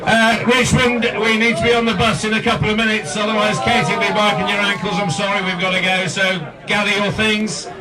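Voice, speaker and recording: Male club chairman, brisk compère, high voice, distant pickup, heavy crowd noise. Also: little pitch movement. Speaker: club chairman, master of ceremonies. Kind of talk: post-match clubhouse speech. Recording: far-off mic amid a very loud crowd.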